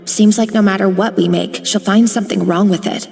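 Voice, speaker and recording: Brief: actress as dry-witted, kind kitchen colleague. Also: female voice, mid-range voice, fairly even intonation, a little fast, creaky, lightly acted. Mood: wry. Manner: dry, caring. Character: kind, sardonic young female cook. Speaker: video game character. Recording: reverberant game audio with music.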